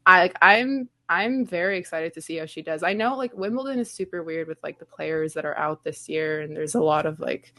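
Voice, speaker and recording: A woman from Romania with a fairly low voice co-hosts a casual sports show. She is chatty and feels her way through sentences, often padding them with "like". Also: expressive, a little fast, hardly any pauses, sharp tone, no room sound. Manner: chatty, hesitant phrasing with many "like"s.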